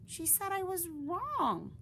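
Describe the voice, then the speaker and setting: A woman voicing a shy, earnest young female fruit bat in a high childlike voice. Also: wildly swooping pitch, slow, pushed and bright, a little hiss or hum, exaggerated voice.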